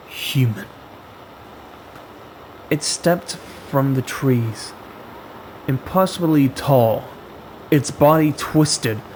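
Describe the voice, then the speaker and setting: A man reading a scripted horror story as a storyteller, with deliberate, dramatic phrasing and frequent pauses. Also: medium pitch, a little mellow, a little hiss or hum, rough recording.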